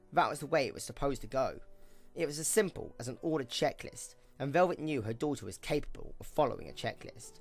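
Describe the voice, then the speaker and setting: A man narrating a scripted audio drama in an expressive storytelling manner. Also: medium pitch.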